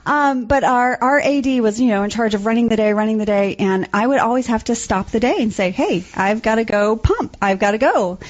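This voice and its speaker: Woman, radio guest, bubbly, higher pitch, anecdotes, dull lo-fi sound. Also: a little fast, hardly any pauses. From born in Louisiana, raised in Indonesia. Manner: bubbly, laughing, acts out quotes in funny voices. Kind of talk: casual anecdote chat. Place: radio studio.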